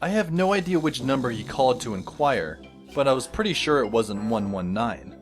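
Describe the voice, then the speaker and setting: A man playing a sardonic, world-weary high school boy who narrates an audio drama in a wry, dry manner. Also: lively intonation, normal speed, close to an everyday voice. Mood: wry, skeptical. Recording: music behind the voice.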